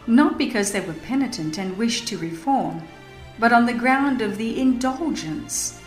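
A woman narrating a religious history audiobook in a solemn, emphatic, formal manner. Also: on the high side. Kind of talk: dramatized scripted narration, reading a religious history. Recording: echoing voice over music. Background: music.

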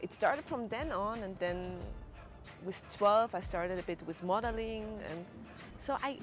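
A young woman with a Swiss German accent answers questions in halting English, pausing to find her words. She speaks at a middle pitch. Music plays clearly underneath her. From Switzerland. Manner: hesitant, searching for English words. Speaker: guest, actress and comedian. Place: outdoor terrace.

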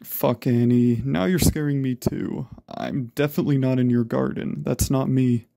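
A man's voice: medium pitch, steady tone, normal speed, vocal fry, warm tone, dry recording, clean studio sound, lightly acted. A man playing a worried young man trying to reassure his friend, earnest and subdued, in a horror story. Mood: defensive, uneasy. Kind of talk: dramatised horror story reading. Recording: eerie music behind. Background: ominous background music.

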